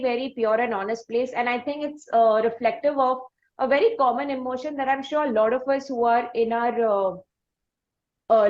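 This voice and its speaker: A woman's voice: fairly high pitch, darkish tone. A woman hosting a conversational interview, speaking in an effusive, wordy and hesitant way. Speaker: podcast host interviewing a musician. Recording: muffled, echoey room sound.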